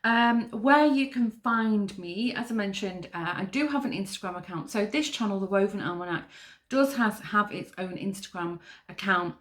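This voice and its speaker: Middle-aged woman, Manchester accent, podcast host, rambling chatty delivery, mid-pitched voice. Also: moderate pace, a bit bright. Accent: Northern English (Manchester). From Manchester, England. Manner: chatty, rambling, with many fillers. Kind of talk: casual chat.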